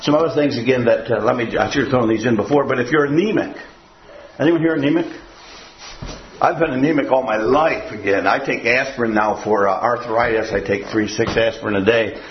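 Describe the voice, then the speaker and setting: A man's voice: medium pitch, fairly quick, slight background noise. An older man gives a rambling, folksy sermon, circling back on his points and telling homely jokes. His voice rings through a roomy church and comes over a dull, muffled recording. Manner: rambling, folksy, repeats "again". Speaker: preacher. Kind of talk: sermon with audience questions.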